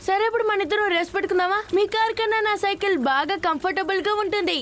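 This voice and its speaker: A woman's voice: very high voice, rapid-fire, fairly bright tone. A woman voicing a cheeky, teasing little boy in a cartoon, with a taunting, sugary manner. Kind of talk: cartoon dub acting. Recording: music under the voice.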